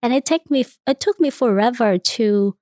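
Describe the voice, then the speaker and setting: Vietnamese lady in her 30s, halting careful english, lots of ums, dry close sound. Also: fairly high pitch, darkish tone, dry recording. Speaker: interviewee, nun. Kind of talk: casual interview answers.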